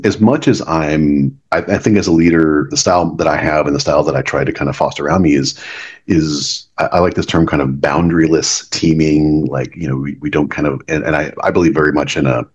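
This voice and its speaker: A man's voice: a bass voice, steady tone, a little fast, slightly rough, lo-fi sound. A middle-aged man, a business leader, talking earnestly as a podcast guest and often restarting his words. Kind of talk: reflective podcast conversation.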